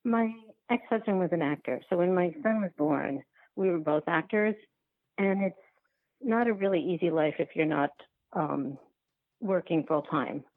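A woman's voice comes through a narrow phone-like connection, fairly low with a creaky edge. She answers as an interview guest, reflective and halting. She often rephrases as she thinks out loud. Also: dry recording. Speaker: guest, documentary filmmaker. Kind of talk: reflective podcast interview conversation. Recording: thin, phone-like line.

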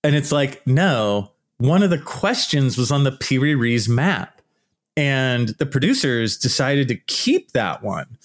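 Loud, animated man ranting casually. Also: medium pitch, slow pace. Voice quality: naturally loud, shouty voice. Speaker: archaeology YouTuber. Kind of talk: casual vlog commentary.

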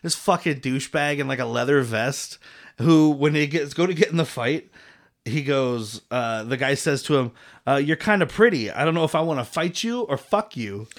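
A man co-hosting a relaxed film podcast, recapping scenes in loose, profane chat. He breaks into laughter often and slips into mock voices for the characters. His voice sits at an ordinary middle pitch. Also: normal speed. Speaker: podcast co-host. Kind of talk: casual movie recap chat.

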